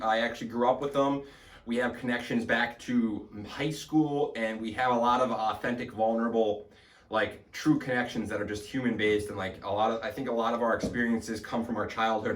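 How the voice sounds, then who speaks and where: A man's voice: medium pitch, echoey room, distant mic. Casting note: rambling young man hosting a podcast. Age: 20s. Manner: energetic, rambling, swears casually. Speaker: podcast host. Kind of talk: casual podcast chat. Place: small home studio.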